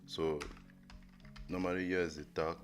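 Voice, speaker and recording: A young man live-streaming a coding lesson, thinking aloud in a halting way with many fillers and restarts. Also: low-pitched, flat delivery. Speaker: coding livestreamer. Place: indoor room at a desk. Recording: music playing under the voice. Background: music playing and keyboard typing.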